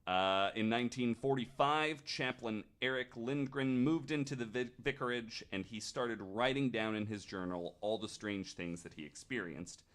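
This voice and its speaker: A man's voice: mid-range voice, normal speed, few pauses, a bit bright. A man retelling ghost stories as a podcast host, in a casual, joking way.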